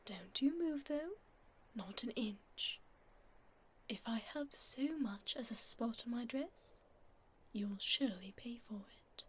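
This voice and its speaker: A woman voicing a haughty but tender vampire duchess in a soft, whispered ASMR roleplay, with formal, aristocratic phrasing. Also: a little high, takes it slowly, a little hiss or hum. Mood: haughty, wary.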